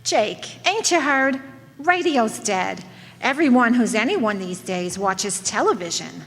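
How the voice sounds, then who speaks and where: An actress playing a naive, excitable, slangy detective's girlfriend in an old-time comic radio play. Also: on the high side, takes it slowly, heavily acted. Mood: excitable, coaxing. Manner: ditzy, slangy. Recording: echoey hall stage recording.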